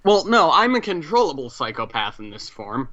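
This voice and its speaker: A man playing a tabletop game, chatting casually and haltingly as he thinks aloud. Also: fairly high pitch, very animated, sharp tone, dull audio.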